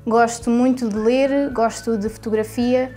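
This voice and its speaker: Quick Portuguese young woman, mellow tone. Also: a little high, steady tone, faint music behind. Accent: European Portuguese. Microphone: lapel mic.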